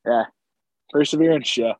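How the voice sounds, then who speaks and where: Brief: male podcast co-host, husky, sore-throated, losing his voice, dry close mic. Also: medium pitch, moderate pace, darkish tone, no echo, rough recording. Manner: laid-back agreeing banter. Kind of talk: casual sports podcast chat.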